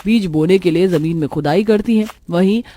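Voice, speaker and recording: A woman's voice: lowish voice, moderate pace, mellow. A woman narrating a scripted moral story as its storyteller.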